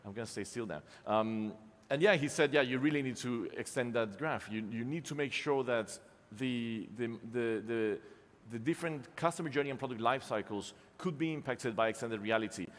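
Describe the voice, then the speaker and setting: A man's voice: mid-range voice, normal speed. Casual man speaking in a reverberant hall. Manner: casual, self-deprecating, many ums and restarts. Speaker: conference speaker, company co-founder. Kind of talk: live conference keynote with slides. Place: conference hall.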